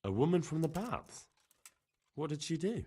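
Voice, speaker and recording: A man's voice: a little high, sing-song, moderate pace, warm tone, breathy edge. An actor playing an earnest, dogged young man investigating in a medieval game, plain-spoken and direct. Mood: polite, curious. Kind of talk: scripted game dialogue acting.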